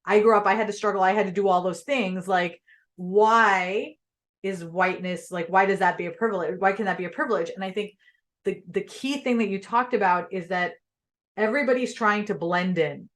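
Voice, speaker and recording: A woman's voice: mid-range voice, normal speed. A young adult woman from Pakistan, Urdu her first language, hosting a casual interview and talking candidly with lots of fillers. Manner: animated and candid, filler-heavy. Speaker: podcast host.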